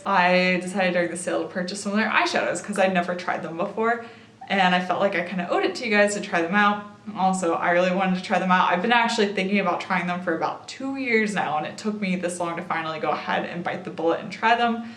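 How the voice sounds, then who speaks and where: A young woman vlogger in a chatty makeup haul. Her voice is slightly raspy and tired after a late night, and she thinks aloud while she applies makeup. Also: fairly low pitch, fairly bright tone, roomy sound. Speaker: beauty vlogger. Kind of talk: casual makeup haul and try-on.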